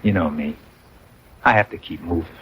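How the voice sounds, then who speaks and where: An actor in an old radio drama playing a brash, restless young soldier back from the dead, speaking in slangy, insistent style. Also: male voice, low voice, moderate pace, fairly bright tone, slightly rough, exaggerated voice. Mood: resigned, matter-of-fact. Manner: slangy, insistent, emphatic storytelling. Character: dead soldier Paul, brash restless young man, fast-talking charmer. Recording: dull, noisy old radio recording.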